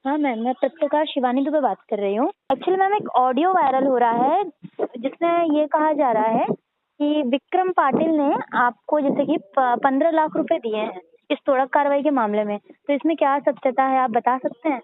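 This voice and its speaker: High-pitched woman journalist, tinny phone line. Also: moderate pace. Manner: polite, questioning. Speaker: journalist phoning for comment. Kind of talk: phone interview.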